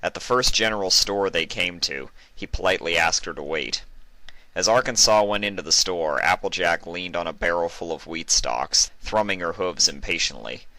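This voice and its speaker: A man's voice: low voice, moderate pace, sharp tone, no echo, low-quality recording. A man reading scripted narration of a western story as an audiobook-style narrator. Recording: music behind the voice.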